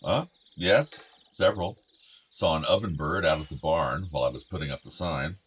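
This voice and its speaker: A man's voice: very deep voice, moderate pace, a bit bright, slight background noise. A male actor playing a fond, outdoorsy middle-aged father of two grown sons in a naturalistic radio play. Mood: matter-of-fact. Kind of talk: naturalistic radio drama dialogue.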